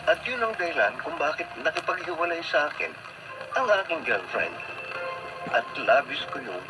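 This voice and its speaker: Whiny, high-voiced childish young man. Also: big pitch swings, normal speed, over loud music. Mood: sorrowful. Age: young adult. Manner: whiny, melodramatic. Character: childish, petulant young man, desperate and heartbroken. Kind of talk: radio drama acting. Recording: noisy radio drama with clear background noise.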